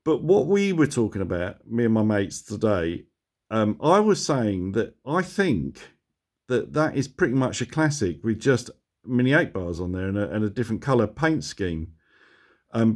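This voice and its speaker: A man's voice: on the low side, a little mellow. A man, middle-aged, whose throat is sore and voice is going. He chats to a phone camera in a loose, rambling way, laughing at his own jokes and catching himself going off on tangents. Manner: rambling, chuckling, self-mocking asides. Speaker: motorcycle vlogger. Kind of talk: casual chat to camera.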